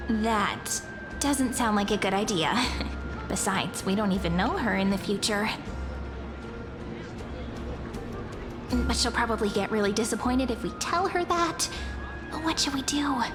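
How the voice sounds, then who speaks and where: Woman as a high-voiced cheerful, mischievous girl. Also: animated, moderate pace, close to an everyday voice. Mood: pondering. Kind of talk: anime game voice acting. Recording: music behind the voice. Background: game music.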